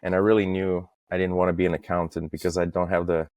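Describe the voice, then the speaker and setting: Low-voiced man, very even in pitch. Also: fast talker, dry recording, lo-fi sound. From Estonia. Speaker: podcast guest, facade industry professional. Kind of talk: casual interview, recounting career.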